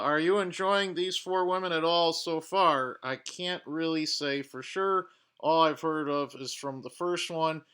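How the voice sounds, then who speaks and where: A man hosting a reaction video, pausing clips to give blunt, judgmental commentary with frequent fillers. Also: fairly high pitch, bright, forceful tone, clear, resonant tone. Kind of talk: commenting over paused clips.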